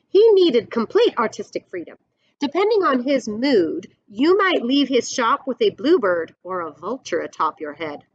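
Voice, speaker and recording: A middle-aged woman teacher reading a storybook aloud with explanatory asides, expressive and deliberate, slightly dull webcam sound. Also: medium pitch. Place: indoors, classroom-like room.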